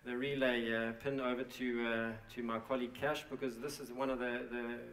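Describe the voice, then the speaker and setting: A man's voice: medium pitch, flat delivery, fairly bright tone. Casual: guy giving a company talk at a conference, lots of uhs and restarts, roomy echo. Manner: halting, many fillers and restarts. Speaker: company presenter. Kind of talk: conference presentation. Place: conference hall. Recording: echoey hall, mic at a distance.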